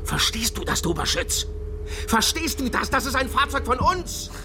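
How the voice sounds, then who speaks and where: A man's voice: very high-pitched, very animated, normal speed, background noise. An actor playing a coarse, agitated East policeman in a radio crime drama, exasperated and frightened. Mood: furious, alarmed.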